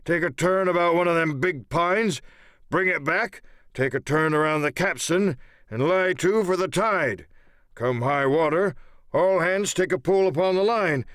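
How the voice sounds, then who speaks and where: A man voicing a wounded, sly old pirate coxswain with rough rustic sailor's speech, in a dramatised audiobook. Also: fairly high pitch, a bit bright, no echo, clean studio sound, voice pushed up, lightly acted. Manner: sly, blunt, commanding.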